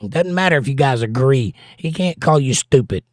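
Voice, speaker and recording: A man with a hoarse, partly lost voice, hosting a casual livestream and giving plain-spoken, folksy advice while reading viewer comments. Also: mid-range voice, expressive, a little fast, dry recording, studio quality. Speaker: livestream host and pastor.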